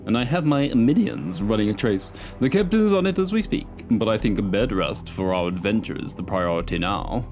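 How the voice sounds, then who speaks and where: A man's voice: on the low side, animated, a little fast, hardly any pauses, darkish tone, soft background music, close to an everyday voice. A man voicing a geeky, jaunty male tech expert with a playful, mock-grand manner and an odd put-on accent, in an audiobook.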